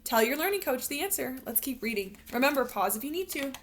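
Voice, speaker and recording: A woman teacher reading a picture book aloud to young children, expressive and instructional, pausing to put questions to them. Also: sits high, a little hiss or hum. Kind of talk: animated read-aloud storytelling with questions to young learners.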